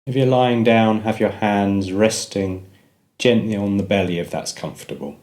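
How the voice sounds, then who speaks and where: Male meditation guide, low-pitched voice, gentle measured delivery with pauses.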